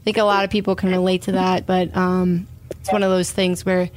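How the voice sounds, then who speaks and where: A woman's voice: lowish voice, little pitch movement, moderate pace, darkish tone, slight background noise. A woman hosting an interview, reading a scripted intro and then affirming her guest. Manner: affirming, encouraging listener. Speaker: interviewer and host.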